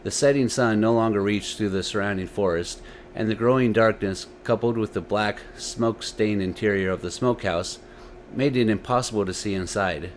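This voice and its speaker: A man's voice: fairly low pitch, normal speed. Male storyteller with some background noise. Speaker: host and storyteller of a story series. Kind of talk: dramatic story narration. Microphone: desktop USB microphone.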